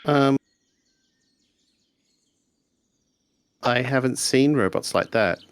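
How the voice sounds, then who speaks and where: A man's voice: mid-range voice, moderate pace, smooth, close to an everyday voice. A man roleplaying a laid-back, skeptical schoolboy in a 1980s sci-fi mystery tabletop game, talking in a dry, hesitant way. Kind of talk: improvised in-character tabletop roleplay.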